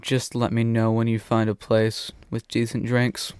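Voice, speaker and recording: A man acts a lazy, hard-drinking pirate for an audio drama. The voice is mid-pitched and aloof, in a dry, echo-free recording. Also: monotone, unhurried, darkish tone, clear, resonant tone, close to an everyday voice. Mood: indifferent. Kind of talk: fan audio drama acting.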